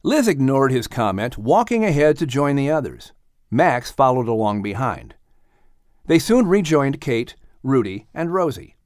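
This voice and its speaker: A man narrating a children's audiobook in an expressive, scripted storytelling style. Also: mid-range voice, a little slow, no echo, clean studio sound.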